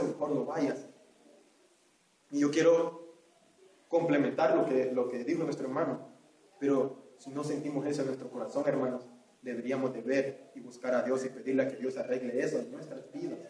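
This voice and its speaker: A man's voice: mid-range voice, warm tone, slight background noise. Salvadoran man preaching in echoey church. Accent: Salvadoran Spanish. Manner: earnest, repeats phrases, addresses listeners as brothers. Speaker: Sunday school teacher. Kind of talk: sermon. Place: church hall. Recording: very echoey hall, voice from far off.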